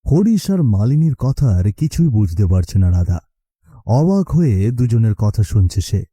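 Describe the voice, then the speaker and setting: A man's voice: mid-range voice, very animated, moderate pace, warm tone, slightly rough, no room sound, clean studio sound. A man narrating a scripted horror story as a storyteller.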